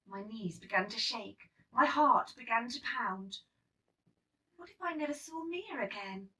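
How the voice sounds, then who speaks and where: A woman reads a children's story aloud with a lively, swooping delivery. Her voice is high and bright, and she sounds a little way from the microphone. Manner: animated, dramatic storytelling. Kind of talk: expressive read-aloud of a children's picture book. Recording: slightly distant microphone.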